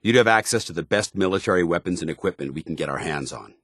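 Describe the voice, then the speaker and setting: An American man voicing a stern, earnest, duty-bound military commander in a video game, speaking in formal, measured phrases. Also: low voice, lively intonation, a bit bright, slightly rough, dry recording, clean studio sound, heavily acted. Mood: firm, proud. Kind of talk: video game companion dialogue.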